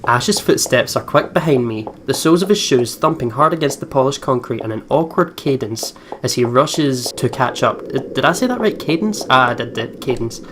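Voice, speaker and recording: A man's voice: mid-range voice, animated, moderate pace, few pauses, fairly bright tone. A man hosting a let's play, reading story text aloud and breaking in with reactions and asides. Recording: clear background noise.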